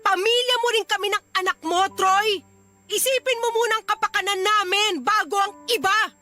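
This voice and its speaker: A woman's voice: very high voice, moderate pace, bright, forceful tone, faint music behind. A woman playing an angry young Filipino wife and mother in a radio drama, scolding emphatically and confrontationally. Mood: indignant, exasperated.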